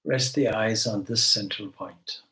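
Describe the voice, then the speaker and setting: Very slow-speaking man guiding meditation. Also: fairly low pitch, poor audio. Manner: gentle instructional phrasing with long pauses. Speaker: swami leading guided meditation. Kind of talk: guided meditation.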